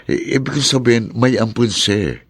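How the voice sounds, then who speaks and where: A man acting as a sincere, lovestruck suitor in a Filipino radio drama, with a husky voice and earnest, halting delivery. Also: mid-range voice, dry recording, studio quality. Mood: troubled.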